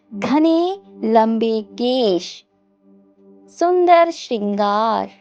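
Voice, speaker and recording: A woman narrating a guided meditation, giving gentle instructions in short, spaced phrases. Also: fairly high pitch, no echo. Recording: dull, dry close recording over music. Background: music.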